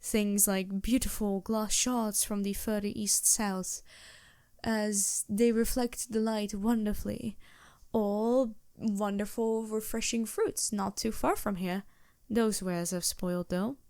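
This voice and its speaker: A woman's voice: medium pitch, fairly even intonation, takes it slowly, a little mellow, no echo, studio quality. A woman playing a polite, anxious vampire posing as a traveling merchant in a fantasy audio roleplay, speaking hesitantly with small stammers and courteous apologies. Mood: grateful, polite. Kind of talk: fantasy roleplay audio drama, addressing the listener.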